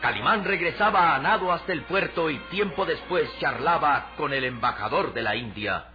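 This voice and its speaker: A man's voice: on the high side, animated, normal speed. A man narrating a scripted radio serial in a solemn, declamatory way. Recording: muffled old radio drama recording. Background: prominent music.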